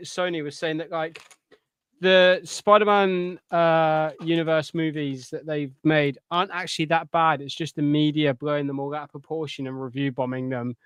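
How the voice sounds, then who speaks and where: A man's voice: a little high, steady tone, normal speed, fairly bright tone, clear, resonant tone, dry recording. A man talking casually as a podcast co-host. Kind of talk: casual pop culture podcast chat.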